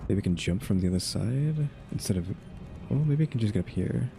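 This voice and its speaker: A man's voice: lowish voice, animated, fairly quick, vocal fry, music in the background. A man giving casual, rambling game playthrough commentary, musing aloud with questioning asides. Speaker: gaming streamer.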